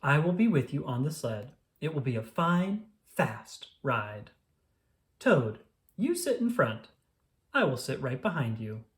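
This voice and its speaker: A reader voicing a calm, reassuring male frog from a children's storybook, telling things patiently and encouragingly. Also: mid-range voice, measured pace.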